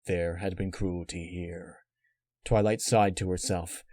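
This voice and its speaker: Male horror-story narrator: low register, measured and dramatic, very dry close sound. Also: fairly even intonation, darkish tone, dry recording. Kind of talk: scripted dark fan-fiction narration.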